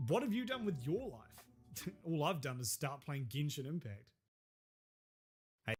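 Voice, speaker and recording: Lively, nasal-voiced man narrating wryly. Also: on the high side, unhurried, slightly rough, soft background music. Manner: wry, deadpan humor. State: stuffy head cold. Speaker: video essay narrator. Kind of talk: scripted narration.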